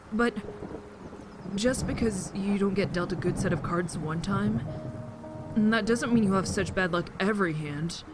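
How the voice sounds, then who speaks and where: A woman voices an earnest, polite teenage boy in an audio drama. He speaks slowly and hesitantly in a lowish voice for a woman, with music clearly behind. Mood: gently hopeful.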